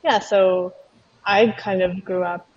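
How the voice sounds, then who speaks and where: A woman speaking as a guest on a video call, thoughtful and hesitant, pausing often with ums as she explains. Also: medium pitch, fairly even intonation, crowd noise. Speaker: guest, taiko performer. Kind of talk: casual interview talk. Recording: muffled video-call audio, faint noise.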